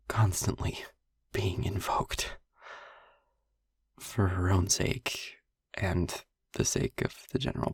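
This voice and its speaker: A man playing a young vampire boyfriend in an intimate audio roleplay, softly confiding in a breathy, husky near-whisper with halting pauses and wry asides. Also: low-pitched, dry recording, clean studio sound, exaggerated voice. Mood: serious, careful.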